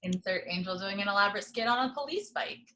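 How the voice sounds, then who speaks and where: A woman reading a screenplay's action descriptions in a plain, steady way. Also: mid-range voice, quick pace, a little hiss or hum. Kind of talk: reading a screenplay's stage directions.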